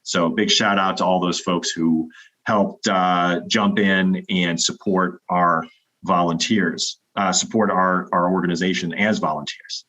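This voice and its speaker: A man's voice: low voice, fairly even intonation, measured pace, sharp tone. A man hosting a virtual club meeting, upbeat and welcoming, with frequent fillers. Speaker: meeting host. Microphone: video call. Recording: dull lo-fi video call audio.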